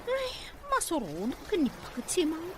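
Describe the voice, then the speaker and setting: A woman's voice: very high voice, wildly swooping pitch, normal speed, background noise, full character voice. A woman acting an eager young graduate in an Ilocano drama, speaking with an Ilocano accent. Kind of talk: amateur Ilocano radio-style drama acting.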